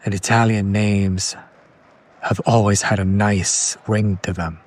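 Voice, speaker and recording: A man playing a condescending, smitten kidnapper in an ASMR roleplay, speaking in a hushed, breathy, lingering way. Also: on the low side, steady tone, unhurried. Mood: hushed, admiring. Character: condescending yandere male kidnapper, smitten with his captive. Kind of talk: whispered ASMR roleplay, slow soft recitation.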